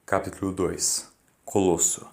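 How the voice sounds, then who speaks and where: A man's voice: low voice, flat delivery, a little slow. A man with a Brazilian accent narrating a horror audiobook in a solemn, theatrical way. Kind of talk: dramatic scripted horror narration. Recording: loud music under the voice. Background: loud music.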